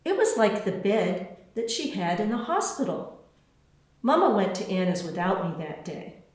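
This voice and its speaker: A woman reading a children's storybook aloud as its author, with deliberate, expressive phrasing. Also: mid-range voice. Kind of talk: scripted storybook narration. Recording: very echoey, roomy sound.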